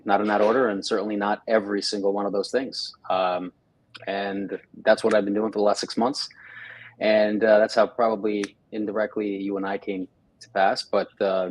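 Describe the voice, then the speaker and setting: Low-voiced man, flat delivery. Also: poor audio. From Russia. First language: Russian. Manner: halting, many fillers and restarts. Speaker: guest. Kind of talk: casual interview chat. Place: home studio, live stream.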